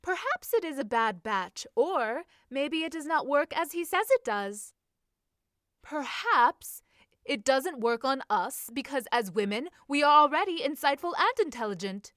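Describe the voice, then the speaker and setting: A woman's voice: high-pitched, big pitch swings, measured pace, fairly bright tone, no echo, studio quality, voice pushed up, heavily acted. A woman reading as a confident, scheming young society lady, eager and formal in a period story. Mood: confident, musing. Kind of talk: audiobook-style character reading.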